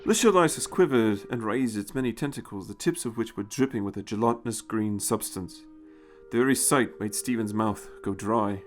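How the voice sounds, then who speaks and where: A man's voice: fairly low pitch, moderate pace, soft background music. A man giving a dramatic scripted narration of a story as its narrator.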